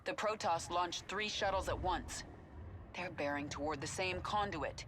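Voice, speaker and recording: A woman's voice: on the low side, measured pace, background noise, close to an everyday voice. An actress playing a vengeful, wounded warrior queen of an alien swarm in a video game, commanding and brooding. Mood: terse, determined. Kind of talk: dramatic video game acting.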